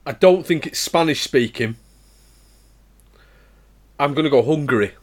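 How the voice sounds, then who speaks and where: A man's voice: fairly high pitch, lively intonation, a little slow. A man taking part in a friends' quiz, confident in his answers and teasing the others. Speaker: quiz contestant. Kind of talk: casual banter.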